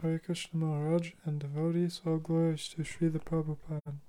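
Flat-voiced reader of listener comments. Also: medium pitch, a little fast. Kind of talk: reading messages aloud.

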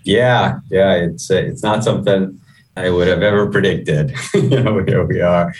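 Man, middle-aged, low pitch, conversational interview answers, measured delivery, a little off-mic. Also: distant mic. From Guyana, moved to the US at eleven. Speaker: chief executive, interview guest.

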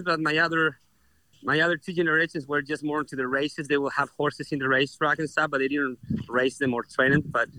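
A man's voice: medium pitch, sharp tone, no room sound, low-quality recording. A young adult man from Chile with a Chilean Spanish accent, a horse trainer answering interview questions earnestly and often restarting his words. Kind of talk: interview guest.

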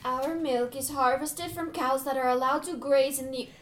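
Woman playing earnest, humble yogurt maker, high-pitched, formal and pleading, comedy read. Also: animated, moderate pace. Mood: proud, sincere. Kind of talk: comedy script table read.

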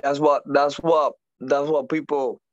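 A man in his forties from Puerto Rico, with a Puerto Rican Spanish accent, speaking as a guest in a casual interview, animated, laughing often, with halting English. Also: fairly high pitch, no echo, muffled recording. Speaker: boxer guest.

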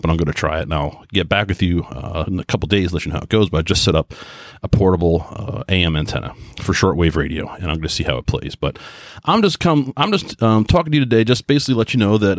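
Low-voiced American man, rambling podcaster. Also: dry recording, studio quality. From New York, US. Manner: rambling, folksy, enthusiastic. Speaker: podcast host and radio hobbyist. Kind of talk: casual solo podcast monologue.

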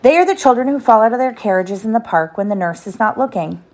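A woman's voice: on the high side, lively intonation, fast, darkish tone, pitched above the performer's own voice. Storybook boy adventurer played by a woman: cocky, eager, blunt, boastful. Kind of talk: children's storybook read aloud.

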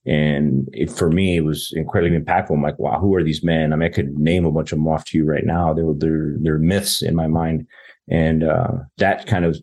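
A man's voice: very deep voice, fairly even intonation, normal speed, darkish tone, slightly rough. A man from southern New Mexico, a podcast guest, talking earnestly with many fillers and restarts. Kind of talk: conversational interview.

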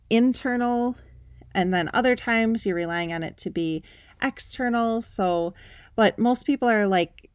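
A woman's voice: medium pitch, no echo, studio quality. A woman in her thirties hosting a podcast, giving an upbeat, emphatic motivational talk in a conversational way. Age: 30s. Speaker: podcast host.

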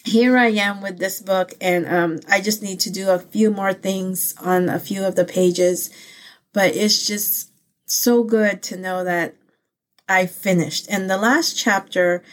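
A woman born in the Philippines whose first languages are Pangasinan and Ilocano, hosting a casual personal faith vlog in an earnest, heartfelt way, rambling with many fillers and restarts. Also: mid-range voice. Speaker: channel host.

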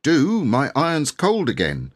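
A man's voice: mid-range voice, huge pitch swings, a little slow, pitched above the performer's own voice. A man voicing a cross, busy Edwardian nursemaid in an audiobook, scolding in ungrammatical servant speech. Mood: cross, brisk.

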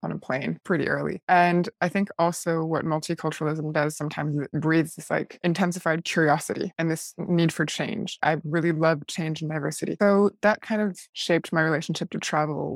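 A woman's voice: low voice, steady tone, fairly quick, vocal fry, few pauses, no echo. Chatty young woman, dry close mic. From United States. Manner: chatty, curious, frequent "like" fillers. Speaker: guest. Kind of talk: casual interview conversation.

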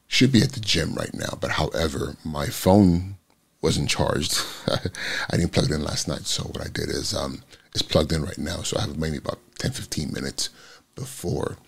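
A man in his forties, a school principal, with a raspy voice, talking through a reflective morning vlog full of ums. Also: a bass voice. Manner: rambling, reflective, many ums.

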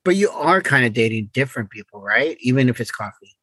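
A man co-hosting a podcast in a casual, conversational style, with slightly halting phrasing. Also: medium pitch, expressive, sharp tone, no echo. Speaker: podcast co-host. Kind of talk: casual relationship chat.

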